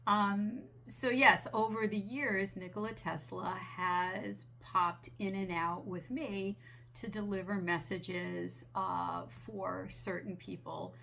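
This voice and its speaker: A woman channeler talking gently and earnestly, her voice raspy from a sinus infection. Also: mid-range voice, fairly even intonation. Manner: slow, gentle, earnest with small restarts. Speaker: spiritual channeler. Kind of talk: relaxed monologue relaying a channeled message.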